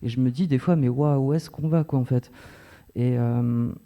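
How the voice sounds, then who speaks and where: A man's voice: mid-range voice, normal speed, highs cut off. A man in his forties from northern France, speaking casually and hesitantly as an audience member, in a rambling, colloquial way. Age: 40s. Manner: hesitant, colloquial, rambling with many filler words. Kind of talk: casual spontaneous remarks.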